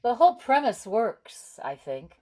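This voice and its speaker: A woman actor chatting casually over a video call after a table read, expressive and given to exclamations. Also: fairly high pitch, a little slow. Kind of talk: casual discussion after a reading.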